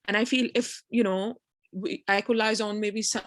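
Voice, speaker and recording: A woman's voice: mid-range voice, steady tone, moderate pace, no room sound. A woman from Pakistan with a Pakistani accent, speaking informally and hesitantly, circling through her thoughts as a participant in a group discussion. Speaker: PhD student and game developer, event participant.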